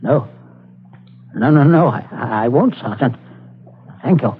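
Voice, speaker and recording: An actor playing a fussy, genteel householder who chatters and stammers nervously, in 1940s radio acting style. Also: male voice, mid-range voice, animated, takes it slowly. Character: genteel English householder, fussy and falsely cheerful. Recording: tinny old radio broadcast, faint hiss.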